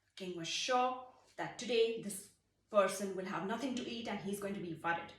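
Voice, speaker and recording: A woman telling a folktale for children in an expressive way, explaining as she goes. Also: medium pitch, normal speed, echoey room, distant mic. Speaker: storyteller. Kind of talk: animated storytelling of a folktale for children.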